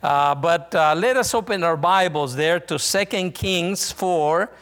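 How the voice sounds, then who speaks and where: Slow, halting Mexican-accented male preacher. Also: fairly high pitch, fairly bright tone, big-room sound. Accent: Mexican Spanish. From northern Mexico. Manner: halting, many fillers and restarts. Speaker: visiting missionary preacher. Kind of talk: sermon.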